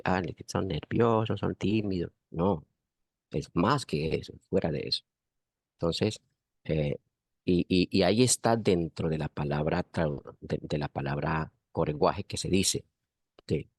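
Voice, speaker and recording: A man's voice: deep voice, normal speed, a little mellow, breathy edge, no room sound, clean studio sound. A man with a Colombian accent, commenting earnestly in a university class discussion and stressing his points with repetition.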